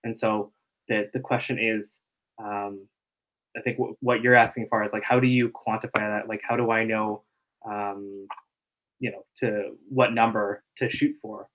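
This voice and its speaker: A man's voice: fairly low pitch, level tone, a little slow, dull audio. A man presenting a marketing webinar over a video call, explaining loosely with lots of fillers. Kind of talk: casual live webinar teaching.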